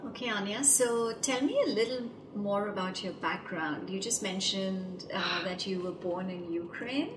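A woman's voice: mid-range voice, moderate pace, hardly any pauses, background noise. A woman podcast host asking long, rambling interview questions full of fillers.

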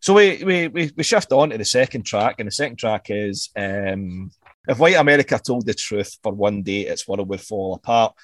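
A man's voice: fairly low pitch, expressive, moderate pace, a bit bright, dry recording. A man, casually talking through an album as a podcast co-host, rambling and swearing, repeating words as he goes.

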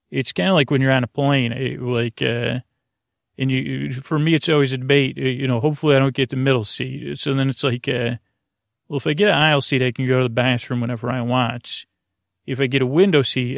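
A man hosting a sleep podcast with a rambling bedtime monologue, halting, interrupting himself and trailing off into digressions. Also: medium pitch, vocal fry, dry recording, clean studio sound.

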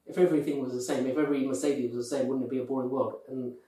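A man's voice: mid-range voice, steady tone, very fast, mellow. A man from the UK co-hosting a podcast, speaking earnestly and telling anecdotes with many fillers. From UK, of Pakistani heritage. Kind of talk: earnest conversational chat. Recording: echoey room, distant from mic.

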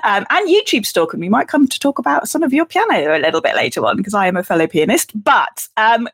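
A woman's voice: mid-range voice, sing-song, fast talker, fairly bright tone, slightly rough. A woman, a teacher, co-hosting a podcast and chatting with guests in a friendly way. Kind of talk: casual podcast chat.

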